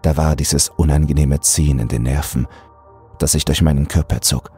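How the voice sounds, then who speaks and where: A man narrating a scripted horror audiobook in a deliberate, brooding delivery. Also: rumbling low, fairly even intonation, mellow, breathy edge, faint music behind.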